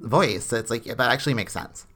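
A man's voice: mid-range voice, lively intonation, fast talker, sharp tone. A man co-hosting a podcast in casual banter, with a dry, wry sense of humor. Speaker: podcast co-host.

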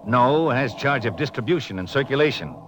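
A man's voice: medium pitch, animated, fairly quick, lightly acted. An American man playing an earnest, inquisitive sheriff in a 1950s radio western, plain-spoken and folksy. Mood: eager. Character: earnest, inquisitive male sheriff, the Ranger's partner. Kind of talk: 1950s radio drama acting. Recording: slightly noisy 1950s radio recording.

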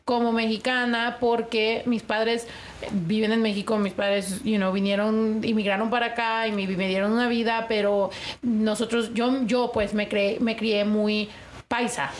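A woman's voice: fairly high pitch, little pitch movement, moderate pace, a little hiss or hum. A young American woman hosting a casual chat, rambling and switching between English and Spanish, with lots of fillers. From United States. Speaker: vlog host.

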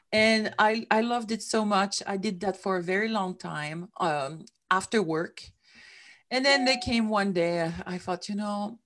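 Raised middle-aged woman, reflective. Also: lowish voice. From Switzerland. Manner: reflective, with some non-native grammar slips. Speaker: interview guest. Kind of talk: candid interview talk.

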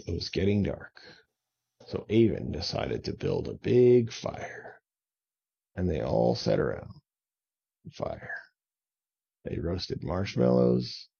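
A man tells a children's bedtime story at an unhurried pace, with a gravelly edge to his voice. His phrasing is simple and repetitive for young listeners, and the recording sounds slightly dull. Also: lowish voice, warm tone. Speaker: children's story podcast host and narrator.

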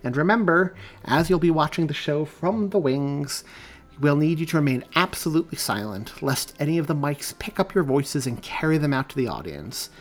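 A man's voice: mid-range voice, big pitch swings, normal speed, few pauses. A man voicing a stern, scornful old woman, a commanding theater director in an audiobook, with clipped delivery. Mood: brisk, commanding. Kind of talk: audiobook character dialogue.

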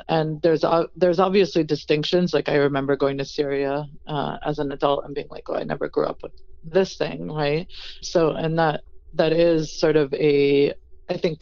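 An earnest chef talks through a long interview answer with thoughtful pauses and fillers. The voice is smooth and clear. The recording sounds a little dull and lo-fi. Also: medium pitch. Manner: earnest, thoughtful, frequent "you know" fillers.

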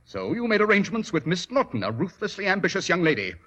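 A vintage 1940s radio drama recording of a shrewd, commanding detective. The voice is fairly high and swings widely in pitch, with a forceful edge. The delivery is precise, formal and theatrical. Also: male voice, sing-song, quick pace, exaggerated voice. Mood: coolly accusing.

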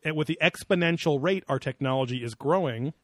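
A man talks loosely through a casual podcast chat, rambling from point to point, laughing a lot and swearing as he goes. His voice sits a little high for a man. The sound is dry and close. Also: a little fast, dry recording. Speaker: podcast co-host.

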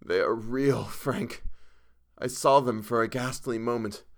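A man's voice: mid-range voice, creaky. Man acting a terrified, half-mad raving man. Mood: haunted, awed. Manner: hysterical, drawn-out, rising from gasps to screams. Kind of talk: dramatic horror audiobook character reading.

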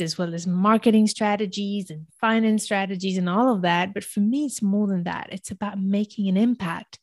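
A woman's voice: medium pitch, a little mellow, no echo, studio quality. A woman hosting a podcast interview, enthusiastic and effusive as she introduces her guest. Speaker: podcast host and business coach.

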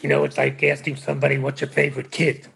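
A man answering interview questions in a halting, conversational way with a gravelly, husky voice. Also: mid-range voice, completely flat delivery, fairly bright tone, slight background noise. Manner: halting, restarts with fillers. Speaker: interview guest.

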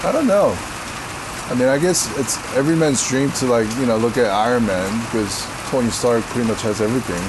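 Deep-voiced man answering questions in the rain. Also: fairly quick, background noise. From Korea. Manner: rambling, filler-heavy, laughs easily. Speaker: interview guest. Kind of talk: casual interview answers.

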